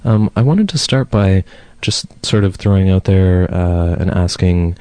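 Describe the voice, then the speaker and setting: A man hosting a community talk radio show, hoarse from a cold, reading news items and then introducing a guest with relaxed fillers. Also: deep voice, unhurried, no echo. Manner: reads news steadily, then chats with fillers. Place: radio studio.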